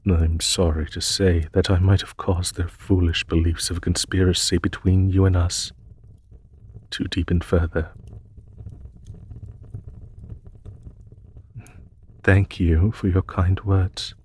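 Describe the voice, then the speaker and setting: Guy doing a slow deep demon emperor for audio roleplay, formal n gentle, kinda sorrowful and husky. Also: flat delivery. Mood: gentle, grateful. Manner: formal, measured, gently spoken. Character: courteous, sorrowful demon emperor, weary of war.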